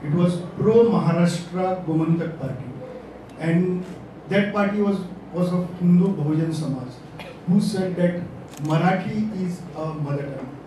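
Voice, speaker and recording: A man speaking on a discussion panel, thoughtful and halting with many fillers. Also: high voice. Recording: echoey distant hall recording with noise.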